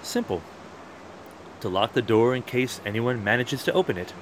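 Man acting a probing challenger, lively intonation. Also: medium pitch, normal speed, noisy background, close to an everyday voice. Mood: reasoning. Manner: questioning, challenging. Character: probing, persistent man from across a wall. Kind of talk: one-act audio play acting.

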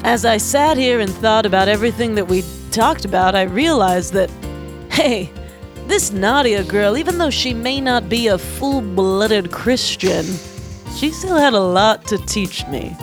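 A woman's voice: mid-range voice, expressive, measured pace, over music. A young woman co-hosting a comedy podcast, giving goofy, earnest closing thoughts with frequent giggles. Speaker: podcast co-host.

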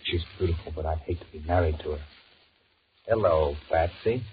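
An actor with an American accent playing a cowardly, irritable cheating husband in a 1940s radio drama, switching between muttered thoughts and halting sweet talk. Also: rumbling low. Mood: impatient, brooding. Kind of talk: 1940s radio horror acting with inner monologue. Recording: muffled, noisy 1940s radio recording.

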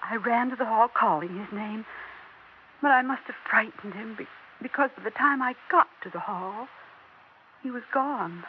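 A woman's voice: a little high, normal speed, natural voice. An American actress playing a fragile, deluded middle-aged widowed mother in a theatrical, emotionally overwrought 1960s radio drama style. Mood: anxious, haunted. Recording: muffled, noisy 1960s radio recording.

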